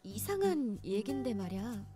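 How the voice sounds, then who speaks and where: An actress playing a playful, blunt, energetic young woman in a visual novel, with a casual bantering manner. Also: a little high, racing, faint music behind. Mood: uneasy. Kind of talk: visual novel game voice acting.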